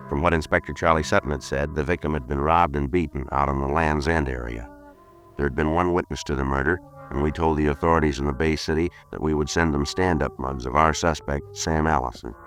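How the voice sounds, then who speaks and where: American radio cop from the 50s, super deep voice, talks fast and flat, all business. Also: male voice, soft background music. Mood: flat, factual. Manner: deadpan, terse questioning. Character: terse, businesslike male police detective who also narrates. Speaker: detective and narrator. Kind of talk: 1950s radio crime drama acting, clipped narration. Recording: vintage 1950s radio recording.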